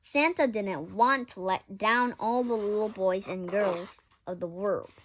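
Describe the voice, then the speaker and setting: A woman's voice: mid-range voice, unhurried, fairly bright tone, a little hiss or hum. A woman reading a children's picture book aloud expressively, with occasional stumbles and self-corrections. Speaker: story reader.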